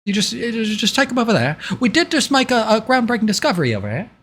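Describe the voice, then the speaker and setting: A man voicing a flustered, eager, apologetic little portly dig boss in a tabletop game, stammering and hurried. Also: very high voice, sing-song, a bit bright, voice pushed up, heavily acted. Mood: eager, excited.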